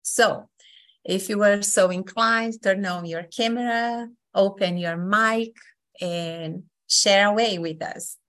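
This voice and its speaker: A woman from Brazil with a Brazilian accent, hosting an online session as facilitator in a friendly, unhurried way with many fillers. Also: mid-range voice, fairly bright tone.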